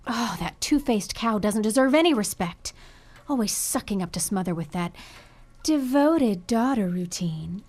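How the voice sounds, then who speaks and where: A woman's voice: mid-range voice, expressive, measured pace, darkish tone. A woman playing a sarcastic, spiteful prodigal daughter of a rich family in an audio drama. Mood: scornful. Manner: sarcastic, mocking, insolent.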